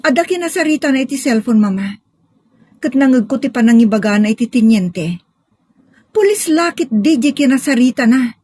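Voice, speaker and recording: A woman's voice: sits high, expressive, normal speed, a little mellow, breathy edge, no echo. A woman playing an insistent, suspicious young bride-to-be daughter in an audio drama. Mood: upset, wary. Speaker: drama actor. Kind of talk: Ilocano audio drama acting.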